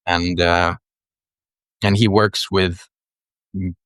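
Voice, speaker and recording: A middle-aged Swedish man talks in accented English, his very low voice carrying a bright, forceful edge. He is reflective and unhurried, often pausing to restart a phrase. The recording is close and dry. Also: level tone, smooth, dry recording. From Sweden. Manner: thoughtful, unhurried, with frequent pauses and restarts. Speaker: guest. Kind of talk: reflective interview conversation.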